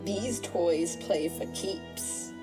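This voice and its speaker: A woman's voice: on the low side, very slow. A woman voicing a gleefully sadistic genie villainess in an audio drama, with a theatrical, singsong, taunting manner. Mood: gleeful menace. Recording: music under the voice. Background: music.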